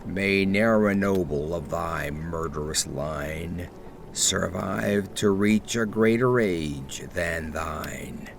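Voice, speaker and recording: A vengeful young sorcerer acted out by a man speaks low and very slowly, drawing out each word of a curse. The delivery is dull yet menacing, made for gothic horror audio drama, with some noise and ambience behind it. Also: vocal fry, heavily acted. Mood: dull menace.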